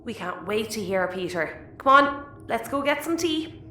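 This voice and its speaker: A woman's voice: a little high, expressive, unhurried, pushed and bright, a little hiss or hum. A woman playing a keen, emotional spaceship navigator in a sci-fi audio comedy. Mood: casual.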